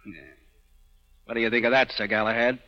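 An actor playing a cocky, menacing, possessive gangster in a 1930s radio drama, swaggering and bossy with tough slang. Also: male voice, medium pitch, fairly even intonation, a little fast, close to an everyday voice. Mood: taunting, impatient. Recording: dull old 1930s radio recording.

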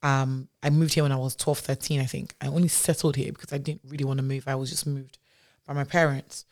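A woman's voice: extremely low pitch, flat delivery, brisk, a little mellow. A woman who grew up in Nigeria, a tech founder talking as a podcast guest in a chatty way, with many fillers and restarts. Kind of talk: casual interview storytelling.

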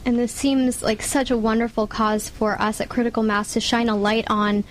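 A woman with a highish voice hosting a local community interview, a little noise around her. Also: flat delivery, normal speed. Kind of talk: conversational interview questions.

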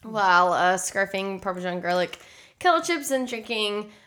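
A woman's voice: medium pitch, lively intonation, normal speed, fairly bright tone. A woman in her twenties with an American accent, co-hosting a podcast in casual chat with a chatty, jokey, exclamatory way of talking. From Texas, United States. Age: 20s. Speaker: podcast co-host.

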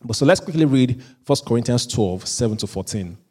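A pastor preaching a sermon, often repeating and restarting phrases, and chuckling at himself now and then. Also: male voice, mid-range voice, lively intonation. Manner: rises into louder, slower emphatic reading of scripture.

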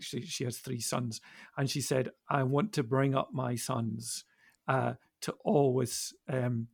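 A man speaking reflectively in a podcast discussion, with halting, thoughtful phrasing. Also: medium pitch, vocal fry, no echo, clean studio sound. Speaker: podcast panellist.

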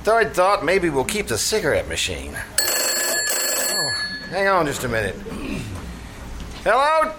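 A man's voice: on the high side, huge pitch swings, takes it slowly, long pauses, exaggerated voice. A man in a radio comedy troupe playing a scheming tavern manager with a working-class dialect, given to mangling his words. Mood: flustered. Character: scheming, malaprop-prone New York tavern manager. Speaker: actor in a stage troupe. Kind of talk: live old-time radio comedy acting. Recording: live theater recording with background noise.

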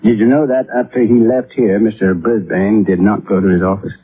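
A narrow, muffled old radio recording carries a male police sergeant. His voice is low and a little rough, and he questions methodically, polite but persistent and official. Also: steady tone, a little fast. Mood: serious, probing. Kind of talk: 1950s radio drama acting.

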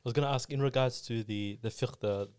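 A man's voice: mid-range voice, fairly quick, a little mellow, smooth. A man hosting a podcast, asking curious follow-up questions and adding short affirmations. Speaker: podcast host. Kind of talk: podcast conversation.

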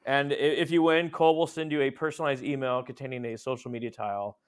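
A man at a middle pitch co-hosting a podcast. He talks in a loose, joking way and strings his thoughts together with plenty of "like." He sounds like he is ribbing his guest. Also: steady tone, quick pace, clear, resonant tone. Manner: jokey, loose, lots of "like". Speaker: podcast co-host. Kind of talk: casual chat.

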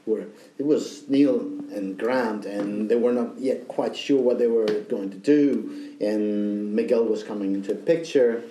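Greek-born man, dark voice, roomy sound. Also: fairly low pitch, a little slow, hardly any pauses, recorded from a distance, a little hiss or hum. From Greece. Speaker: band member. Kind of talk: casual interview chat. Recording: echoey room, away from the mic.

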